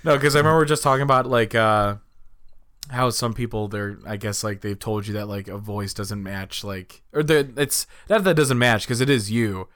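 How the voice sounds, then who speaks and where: A man's voice: lowish voice, moderate pace, a bit bright, clear, resonant tone, no room sound. Eager young man on a dry mic. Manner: enthusiastic, lots of "dude" and "like". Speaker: podcast co-host. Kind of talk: casual podcast chat. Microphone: podcast microphone.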